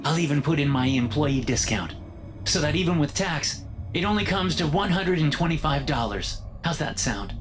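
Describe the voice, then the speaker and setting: A man's voice: a little high, expressive, normal speed, music in the background, pitched above the performer's own voice, lightly acted. A man voicing an eager, nerdy male store clerk with chirpy, over-helpful sales patter in a narrated story. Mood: eager, friendly.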